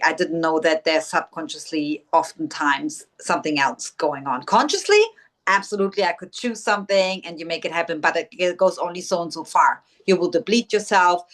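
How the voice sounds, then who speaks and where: Lower-voiced woman hosting a spiritual podcast. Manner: warm affirming, spiritual vocabulary. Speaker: podcast host, intuitive soul guide. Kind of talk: casual interview chat.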